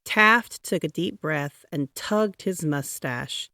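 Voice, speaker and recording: A woman narrating a children's picture book aloud in a dramatic, deliberate, expressive way. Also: low voice, no room sound, clean studio sound. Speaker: storybook reader.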